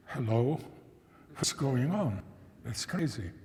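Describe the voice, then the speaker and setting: A man in his nineties, a native German and Czech speaker, recounting a personal memory to an audience in a thoughtful, measured way, often restarting phrases and asking listeners questions. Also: medium pitch, darkish tone, a little hiss or hum. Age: 90s. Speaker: guest speaker. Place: church sanctuary. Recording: reverberant church hall.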